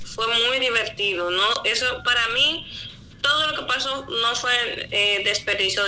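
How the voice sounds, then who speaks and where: Woman, very bright sharp voice, earnest, reflective, interview guest. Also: on the high side, a little hiss or hum, low-quality recording.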